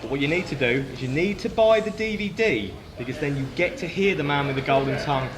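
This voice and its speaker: A man's voice: on the high side, expressive, fast talker. A British man with a British accent, a wrestling commentator talking in a boastful, theatrical showman's promo style. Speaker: wrestling commentator being interviewed.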